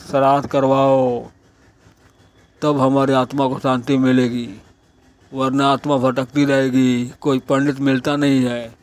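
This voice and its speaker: A man voicing a male ghost in a cartoon, a dutiful son who gives slow, drawn-out commands. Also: medium pitch, natural voice. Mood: solemn, insistent. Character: male ghost, dutiful brother and son, demanding last rites. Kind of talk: Hindi cartoon story voice acting.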